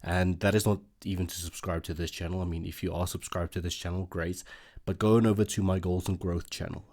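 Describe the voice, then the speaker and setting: A man's voice: deep voice, dark tone. A man, an Afrikaans speaker, hosting a casual reaction video and thinking aloud with lots of fillers.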